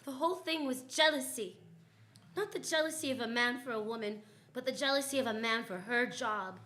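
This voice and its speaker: A woman's voice: a little high, fairly quick, fairly bright tone, faint music behind, lightly acted. A woman playing a confident, wry female private detective who narrates and acts in old-time radio style. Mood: storytelling. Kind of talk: 1940s radio detective drama, first-person narration.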